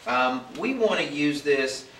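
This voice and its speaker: A man in his forties from the Midwest hosts a relaxed coffee-style interview, asking questions with chatty enthusiasm. He sounds a little far from the mic in a roomy space, with faint hiss behind. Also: on the high side, slow. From American Midwest. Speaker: interview host. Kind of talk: casual interview. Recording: echoey room, distant mic, faint hiss.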